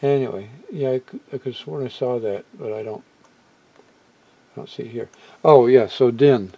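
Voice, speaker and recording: A man presenting a hobby video in a casual, unscripted way, halting as he muses aloud and often trailing off mid-thought. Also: medium pitch, gravelly, lo-fi sound. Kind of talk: casual unscripted product walkthrough.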